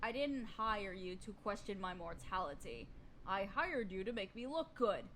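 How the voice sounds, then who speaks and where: A woman's voice: medium pitch, big pitch swings, moderate pace, a bit bright, slight background noise. A woman playing a vain, domineering evil sorceress queen in a fantasy audio roleplay, speaking in an imperious, theatrical drawl. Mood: impatient, haughty.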